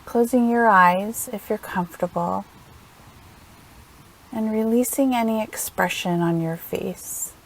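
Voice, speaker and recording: A woman leading a guided meditation in a gentle, soothing manner, unhurried and leaving long pauses between phrases. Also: medium pitch, rough recording. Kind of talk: guided meditation, slow instructional narration.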